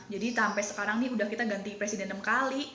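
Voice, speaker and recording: A woman's voice: medium pitch, rapid-fire, noisy background. An Indonesian-accented woman presenting as a team leader, explaining casually and chattily while often checking in with listeners.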